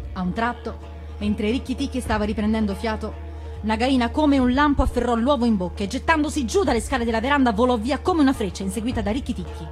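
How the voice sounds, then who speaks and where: A woman reading a story aloud as an actress, in an expressive storybook narration. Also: medium pitch. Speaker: actress reading stories on radio.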